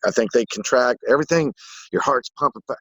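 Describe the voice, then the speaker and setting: A man in a casual spiritual conversation who rambles excitedly and swears casually. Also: medium pitch, brisk, no echo, low-quality recording.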